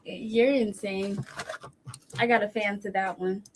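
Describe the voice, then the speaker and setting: A woman's voice: medium pitch, steady tone, slow. A woman hosting a livestream in casual chat, laughing often. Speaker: livestream host. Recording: noisy livestream audio.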